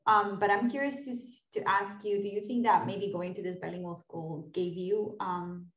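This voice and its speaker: A woman's voice: medium pitch, steady tone, moderate pace. Woman on echoey lo-fi audio, thoughtful. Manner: thoughtful, restarts while forming questions. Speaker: interviewer. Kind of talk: conversational interview.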